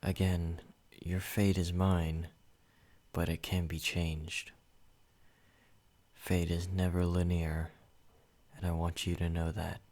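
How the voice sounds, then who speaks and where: A man voicing a possessive yandere vampire in an ASMR roleplay, speaking softly and intimately, sometimes whispering. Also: low-pitched, monotone. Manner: soft, intimate, slow and controlling, slipping into whispers. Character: possessive male yandere vampire, obsessive and menacing.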